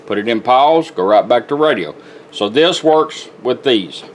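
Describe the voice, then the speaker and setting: A man's voice: mid-range voice, lively intonation, a little slow. Plain-spoken man demonstrating, music playing behind. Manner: plain-spoken, step-by-step instructing. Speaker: product demonstrator. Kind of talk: informal hands-on product demonstration. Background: music from the radio being demonstrated.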